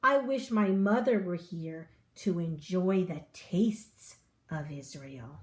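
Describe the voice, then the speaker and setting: A little boy spider from a children's storybook, read by a woman, curious and full of wonder. He speaks slowly and wistfully, in a childlike way. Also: lowish voice, echoey room, slight background noise, pitched below the performer's own voice.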